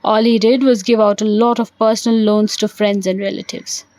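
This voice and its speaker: A woman's voice: mid-range voice, flat delivery, normal speed, a little mellow, dry recording, natural voice. A woman voicing a bitter, sarcastic older man, a disillusioned dancer, in an audio play. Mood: scornful, agitated. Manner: sardonic, rhetorical, brooding.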